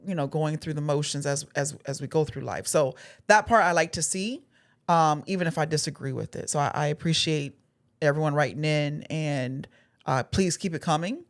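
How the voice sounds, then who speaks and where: A woman's voice: sits low, normal speed. A woman co-hosting a podcast in casual chat, talking earnestly and circling back over her words. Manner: earnest, rambling with many restarts and fillers. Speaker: podcast co-host.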